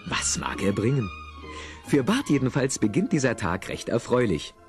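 A man's voice: mid-range voice, big pitch swings, normal speed, soft background music. A man narrating a scripted children's audio play theatrically, with conversational asides to the listeners.

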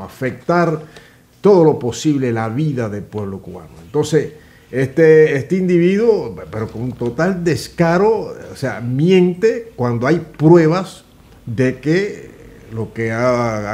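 Indignant older man, halting and emphatic. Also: mid-range voice, darkish tone. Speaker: guest commentator. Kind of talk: political commentary chat. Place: small office studio with computers.

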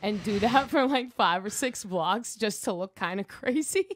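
A woman's voice: mid-range voice, lively intonation, moderate pace. A young woman on a podcast, telling a breezy story and laughing through it. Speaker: podcast guest. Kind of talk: casual storytelling.

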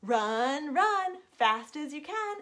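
A bragging little gingerbread cookie boy, as a woman puts him on, chanting his taunts in a sing-song way. The voice sits well above her own, bouncing all over the place, and every line is drawn out slowly. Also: high voice, very animated, a bit bright, voice pushed up, heavily acted. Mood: taunting.

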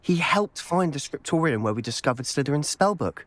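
A man's voice: on the high side, lively intonation, a little fast, lightly acted. An actor playing an impassioned, insistent teenage boy wizard in a video game cutscene. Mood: persuasive.

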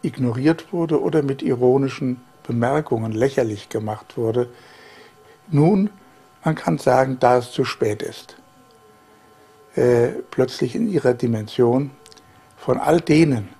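A German man in his sixties, a journalist, giving a halting, emphatic interview answer with many fillers in a living room. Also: mid-range voice, vocal fry, dark tone, slightly rough.

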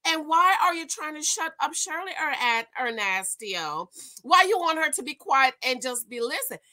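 A woman with a very high, forceful voice gives informal reaction commentary. She is animated and exclamatory, dragging out key words and repeating phrases for emphasis. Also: normal speed. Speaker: host of a commentary channel. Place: small room at home, indoors.

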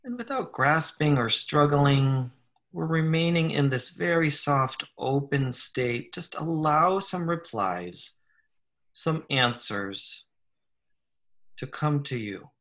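A man leading a guided meditation in a gentle, unhurried manner, leaving long pauses between short phrases. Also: a little high. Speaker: guided meditation leader, psychologist. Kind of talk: slow guided meditation, soothing instruction.

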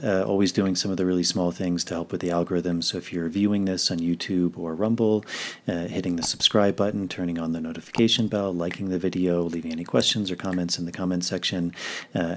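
A man hosting a podcast, talking in a relaxed way with many fillers. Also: deep voice. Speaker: podcast host. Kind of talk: casual interview. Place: Peru.

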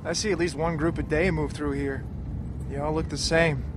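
An actor playing a friendly, talkative and wry wanderer in a video game. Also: male voice, fairly high pitch, little pitch movement, moderate pace, music in the background, natural voice. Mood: wry, sociable. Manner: easygoing, wry.

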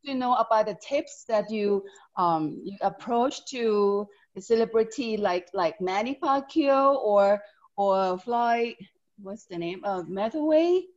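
A woman with a Thai accent asking a polite, halting question on a video call through earphones, heard as dull lo-fi call audio. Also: mid-range voice, animated. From Thailand. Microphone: earphone headset.

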